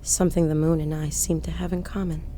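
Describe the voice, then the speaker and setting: A woman's voice: low voice, level tone, quick pace, dark tone, slight background noise, natural voice. A woman playing a haunted, defiant widow driving alone at night in an audio horror drama, dramatic, brooding and wry. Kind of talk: audio horror drama acting with first-person narration.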